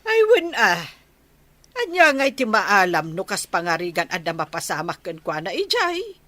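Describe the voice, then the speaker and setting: Radio comedy: money-minded village mum, bright, swooping delivery. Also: female voice, medium pitch, wildly swooping pitch, normal speed, no echo. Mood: scheming, eager. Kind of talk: radio comedy-drama acting.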